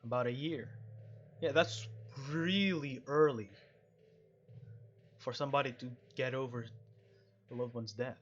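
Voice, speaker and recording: A man's voice: mid-range voice, a little slow, long pauses, a bit bright, dull audio, faint music behind. A man doing casual, rambling let's-play commentary, reading game dialogue aloud and adding jokey asides. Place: at home.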